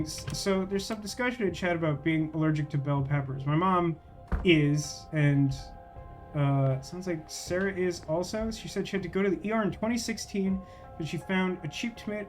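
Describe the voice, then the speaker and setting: A man's voice: sits high, moderate pace, faint music behind. A man doing casual livestream game commentary, thinking aloud in a wry, rambling way as he chats with viewers.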